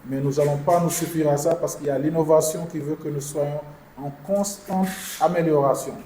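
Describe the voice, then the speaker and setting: A man, an insurance executive, answering journalists' questions at a press conference in a measured, formal way. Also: fairly high pitch, fairly even intonation, mellow. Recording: echoey room with background noise.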